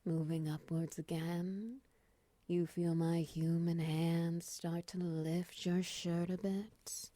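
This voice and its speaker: A woman playing a friendly, teasing half-spider monster in an intimate audio roleplay, soft-spoken, breathy and often close to a whisper. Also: on the low side, flat delivery, dry recording. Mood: caring, reassuring. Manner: gentle, teasing, slow murmurs with soft chuckles.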